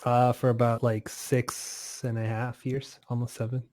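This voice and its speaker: A man in his twenties from Italy, a music producer chatting casually as a guest, relaxed and often laughing, with many fillers. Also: medium pitch, a little slow, dry recording, clean studio sound. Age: 20s. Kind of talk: casual interview over a call.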